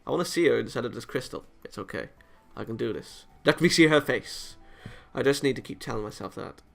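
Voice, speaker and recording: A man's voice: mid-range voice, lively intonation, a little fast, gravelly, soft background music. A man reading a visual novel aloud dramatically with off-the-cuff reactions, sometimes tripping over words and rereading them.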